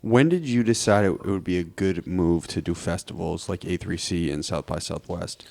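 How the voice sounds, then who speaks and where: A young man hosting a podcast, chiming in with brief questions and remarks over a headset mic in a dark studio. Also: deep voice, moderate pace, vocal fry. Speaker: podcast host. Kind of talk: casual podcast interview. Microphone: headset with boom mic.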